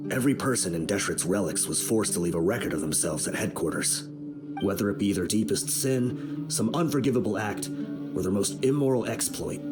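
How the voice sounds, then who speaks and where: A man acting a weary, measured desert brigand who explains things gravely in a video game. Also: on the low side, close to an everyday voice.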